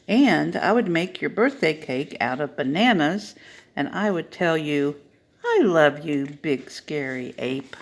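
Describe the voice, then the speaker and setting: A woman's voice: fairly low pitch, a little hiss or hum. A woman reading a picture book as a loving mother, tenderly reassuring her child. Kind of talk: picture-book read-aloud.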